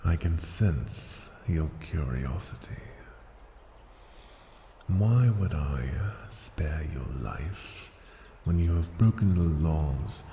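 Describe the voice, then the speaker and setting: Man voicing a very slow, deep ancient dragon. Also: lively intonation, mellow, echoey room, a little hiss or hum, heavily acted. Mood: possessive, tender. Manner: slow, deliberate, formal, condescending, often dropping to a breathy whisper. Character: ancient powerful dragon, haughty, possessive, tenderly menacing. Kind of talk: ASMR fantasy roleplay, intimate scripted monologue.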